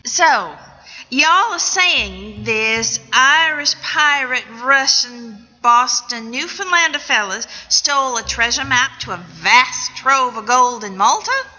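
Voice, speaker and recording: A skeptical young policewoman with a slow Southern drawl. Her tone is bright and forceful, in radio comedy style. The recording has long hall reverb and music. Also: sits high, lively intonation, heavily acted. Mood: puzzled. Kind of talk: comic radio play acting. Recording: echoey event hall, music behind.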